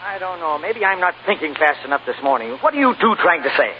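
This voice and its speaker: A man's voice: high voice, very animated, fast, creaky, a crowd in the background, full character voice. An actor playing a genial, dryly humorous male film studio boss in a 1940s radio drama. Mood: amused, wry. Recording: tinny, noisy old radio recording.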